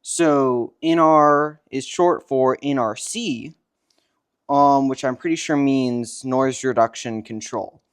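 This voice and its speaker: A man's voice: mid-range voice, slow, clean tone. A young man co-presenting, explaining equipment step by step in a matter-of-fact, instructional way.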